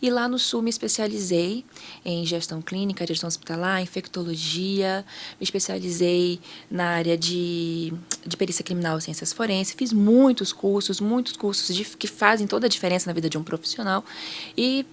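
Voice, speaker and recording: A woman's voice: on the low side, moderate pace, slight background noise. A woman in her thirties with a northern Brazilian accent, talking casually as an interview guest. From Manaus, northern Brazil. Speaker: podcast guest, hospital pharmacist.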